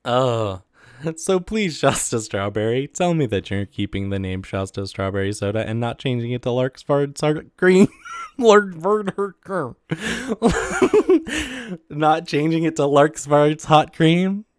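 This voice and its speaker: A man's voice: medium pitch, animated, a little slow, clean tone, dry recording, studio quality. A man casually reading comic excerpts aloud and commenting on them, with a giggly manner that often breaks into laughter.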